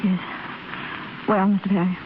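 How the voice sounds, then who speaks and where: An actress playing a refined, composed young widow in a 1930s radio drama, speaking politely and with measured phrasing. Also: female voice, mid-range voice, flat delivery, phone-quality sound, natural voice. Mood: composed, gracious. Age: young adult. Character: gracious, composed young widow, quietly grieving. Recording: scratchy, thin 1930s radio broadcast recording.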